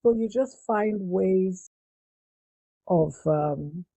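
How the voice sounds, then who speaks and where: A Nigerian woman with a Nigerian accent, a podcast guest calmly explaining her work with young people. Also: mid-range voice, very slow, no echo, low-quality recording. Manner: calm, explanatory, eases into points with fillers. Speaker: guest, youth mental health foundation founder. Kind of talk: casual interview conversation.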